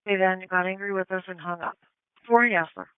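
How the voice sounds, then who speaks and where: Over a thin, phone-like police radio channel comes a woman dispatcher with a clear voice. She talks in clipped, procedural phrases, and the sound is dry with no room around it. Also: medium pitch, brisk, dry recording. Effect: police scanner radio. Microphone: dispatch radio heard over a scanner.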